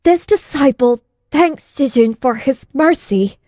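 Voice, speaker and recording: A woman's voice: high-pitched, animated, takes it slowly, mellow, no room sound, clean studio sound, pitched above the performer's own voice. A woman voicing a deferential martial arts disciple, a man in his twenties who speaks formally and reverently in an audiobook. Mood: shaken, grateful.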